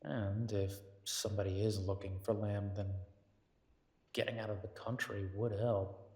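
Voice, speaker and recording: A man acts out a tender, tired male werewolf detective in a roleplay audio drama. He speaks slowly in a fairly deep voice, coaxing softly with thoughtful pauses. The sound is close and dry. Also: level tone, husky, dry recording. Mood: coaxing, affectionate. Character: affectionate, world-weary werewolf detective, male, coaxing his partner.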